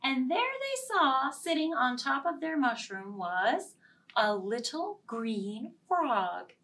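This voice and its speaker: A woman storyteller with a highish, very animated voice tells a children's tale. She takes her time and drops into hushed phrases, recorded a little way from the microphone. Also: far from the mic. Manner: slow, expressive, with hushed phrases. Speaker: children's library storytime host. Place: small indoor storytime set.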